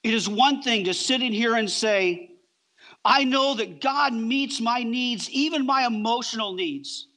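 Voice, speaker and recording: A middle-aged man preaching a sermon on a church stage, emphatic, with deliberate pauses between short phrases. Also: very high voice, pushed and bright. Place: large church auditorium, on stage.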